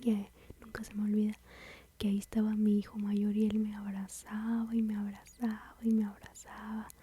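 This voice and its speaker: A woman with a Mexican accent whispering softly as she tells a personal story about motherhood, in a confiding, rambling way. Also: medium pitch, monotone, normal speed, a little hiss or hum. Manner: soft, confiding, rambling with fillers.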